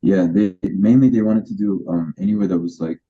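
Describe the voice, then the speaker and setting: A man's voice: low-pitched, fairly quick, husky, lo-fi sound. A man answering questions over a video call, hesitant and full of ums. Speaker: agency owner being coached.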